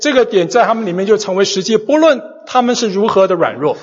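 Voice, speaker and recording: A man's voice: high voice, lively intonation, fast, husky. A man interpreting a sermon phrase by phrase, hesitating and restarting as he goes. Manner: hesitant, many fillers and restarts while interpreting. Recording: dull recording in a large echoing hall.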